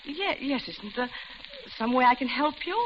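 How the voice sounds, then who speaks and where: A woman's voice: high voice, expressive, moderate pace. A muffled, noisy vintage radio recording of an older widow. She is genteel and talkative, coaxing with an uneasy edge, in a 1940s drama manner. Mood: concerned, wistful. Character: chatty, uneasy older widow, diner customer.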